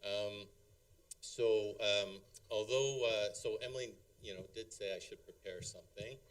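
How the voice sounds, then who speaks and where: A man's voice: fairly low pitch, unhurried, clean tone, distant mic, poor audio. A middle-aged man giving a rambling, hesitant wedding speech as father of the bride, full of ums and chuckles. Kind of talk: halting, unscripted wedding toast. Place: wedding reception hall.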